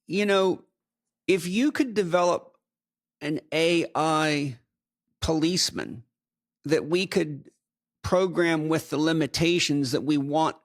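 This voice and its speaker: Higher-voiced man musing aloud as host. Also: slow, pauses often. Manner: thinks aloud, builds long rambling ideas with hesitations. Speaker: podcast host. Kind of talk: conversational podcast interview.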